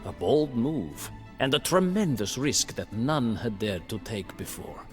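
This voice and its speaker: Slow, dramatic medieval chronicler in reverb. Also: male voice, mid-range voice, big pitch swings. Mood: grave storytelling. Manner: measured, deliberate, storyteller-like. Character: captive male storyteller in chains, grave and wry medieval chronicler. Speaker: narrator. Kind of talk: dramatic scripted historical narration for a game cutscene. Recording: long reverb under music. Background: music.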